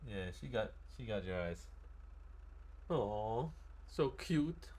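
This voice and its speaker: A man's voice: lowish voice, animated, takes it slowly, a little mellow. A laid-back man chatting casually in online game roleplay, swearing freely into a close microphone. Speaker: live streamer playing a medic in online roleplay.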